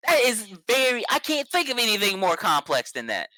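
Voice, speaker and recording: A man's voice: sits high, wildly swooping pitch, fairly quick, bright, forceful tone, no echo. A young man co-hosting a casual anime review podcast, enthusiastic and rambling, with lots of fillers. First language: not Japanese; speaks English. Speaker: podcast co-host.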